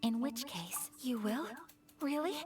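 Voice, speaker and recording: A woman's voice: fairly high pitch, lively intonation, measured pace, over music. A woman voicing a refined, courteous young noblewoman in a video game, speaking with formal, poised politeness. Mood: gracious, earnest.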